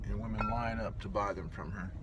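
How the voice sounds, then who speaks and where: A man giving a casual, rambling vlog update as a writer, candid and halting in his delivery, with some casual swearing. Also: on the low side. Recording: dull lo-fi, loud outdoor traffic noise.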